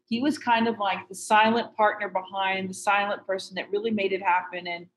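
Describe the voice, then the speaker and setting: A woman's voice: mid-range voice, level tone, fairly quick, a bit bright, rough recording. A woman hosting an interview podcast, enthusiastic, with long leading questions. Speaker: podcast host.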